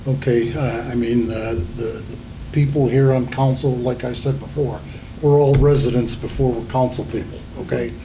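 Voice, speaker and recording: A man's voice: mid-range voice, steady tone, moderate pace, noisy background. A man on a council talking to a candidate in a folksy way, checking in with okay. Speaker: council member. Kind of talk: meeting chat.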